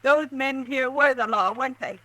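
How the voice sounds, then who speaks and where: A frightened frontier wife with a high, pleading voice. Her voice is very high and bright. It is recorded dry, with no room sound. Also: moderate pace, no room sound. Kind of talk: 1950s radio acting.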